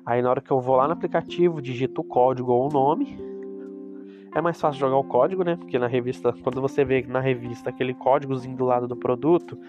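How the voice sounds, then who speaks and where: A man's voice: mid-range voice, steady tone, quick pace, highs cut off. A man with a Brazilian Portuguese accent and a stuffy nose from a cold, chatting casually and rambling to his viewers about reselling cosmetics. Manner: chatty, rambling, with asides to the audience. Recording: music playing in the background.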